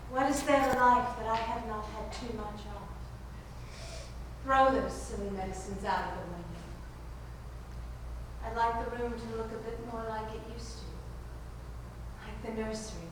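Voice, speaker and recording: An actress playing a vain, emotional stage star who declaims melodramatically in broad theatrical comedy. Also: female voice, a little high, measured pace, pauses often, natural voice. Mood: tragic, languid. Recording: distant, very echoey, noisy stage recording.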